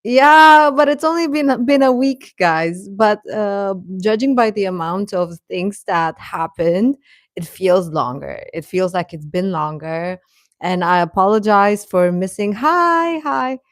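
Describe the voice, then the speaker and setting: A woman's voice: mid-range voice, a little slow, dry recording, clean studio sound. A woman hosting a livestream, chatting casually and informally with her viewers. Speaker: livestream host.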